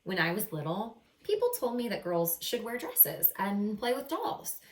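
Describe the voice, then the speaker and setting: A woman teacher reading a picture book aloud to children in an expressive way, with chatty asides and stretched key words. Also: mid-range voice, a little slow, background noise. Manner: animated, acts out characters, stretches key words. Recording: roomy echo, some distance from mic.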